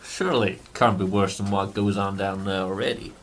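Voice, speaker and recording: A man's voice: low voice, steady tone, a little fast, slight background noise. A man playing a modest, world-weary private detective in a noir radio drama, talking in an informal, folksy way. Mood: probing.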